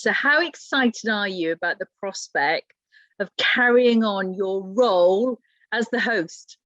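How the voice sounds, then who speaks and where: A woman's voice: medium pitch, fairly bright tone, no echo, rough recording. A woman interviewing a podcast host, asking questions in a friendly, flowing way. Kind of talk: conversational interview.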